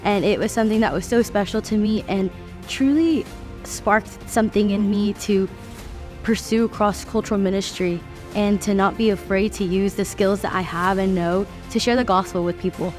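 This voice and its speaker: A woman's voice: medium pitch, moderate pace, a little mellow, faint music behind. A young woman in her twenties giving an earnest, heartfelt testimony for a tribute video. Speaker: student interviewee.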